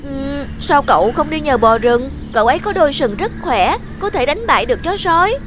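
Timid cartoon critter, woman voicing, very high, nervous excuses. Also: lively intonation, fairly quick, background noise. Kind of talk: cartoon voice acting. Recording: music playing under voice.

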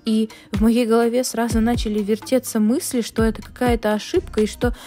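Mellow woman narrating a dramatic voice-over. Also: a little high, level tone, soft background music. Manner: dramatic storytelling, measured. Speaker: vlogger narrating.

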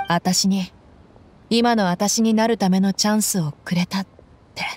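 A woman plays a young desert fighter in an anime-style game. She is tough and plain-spoken, with tomboyish casual phrasing and a good heart, at a middling female pitch. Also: steady tone, unhurried, darkish tone, a little hiss or hum, lightly acted. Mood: reflective, resolved. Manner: blunt, tomboyish casual speech.

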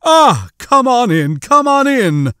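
A man's voice: very high voice, sing-song, slow pace, pushed and bright, clean tone, no room sound, clean studio sound, voice pushed up, heavily acted. A man voicing an eager, fussy spaceship servant in an audiobook, effusively polite. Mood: effusive welcome.

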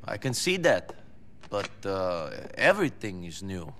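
Gruff, blunt Eastern European newcomer for a game; measured, unhurried delivery with a forceful edge. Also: male voice, low voice, sing-song, exaggerated voice. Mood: curious, dry. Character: gruff, tough immigrant newcomer, blunt and deadpan. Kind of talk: video game voice acting.

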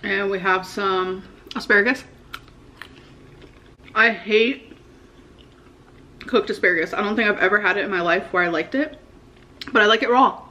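A woman's voice: medium pitch, moderate pace, long pauses, sharp tone, slight background noise. A young adult American woman vlogging in a casual, slangy way, talking to camera at home. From United States. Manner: informal, slangy, frequent "like". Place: indoor living room at home.

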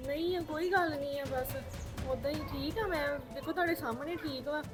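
A woman acts out a young married daughter holding back tears. Her high voice is quiet, soft and subdued, with clear music playing behind her. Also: fairly quick, natural voice. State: close to crying.

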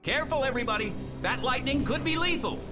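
A man's voice: extremely high pitch, fast, over music. A man voicing a cheerful, eager young male robot scout in a video game.

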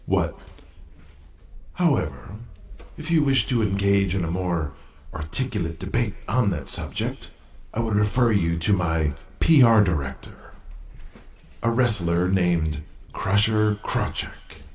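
An actor playing a brash, domineering wrestling promoter in an audio drama. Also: male voice, sits low, expressive, a little slow, a little mellow. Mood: smug. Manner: smug, domineering.

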